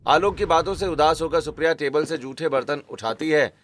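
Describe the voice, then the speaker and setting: A man narrating a cartoon family story in a steady, explanatory way. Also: fairly high pitch, fairly quick, a little hiss or hum.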